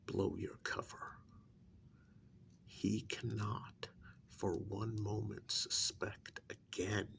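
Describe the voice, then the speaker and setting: A man playing a stern, secretive government handler in an ASMR role-play, speaking softly in a pointed, reprimanding, deliberate way. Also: on the low side, a little hiss or hum, full character voice. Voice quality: breathy near-whisper with gravelly creak. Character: stern, secretive male government handler scolding an undercover agent.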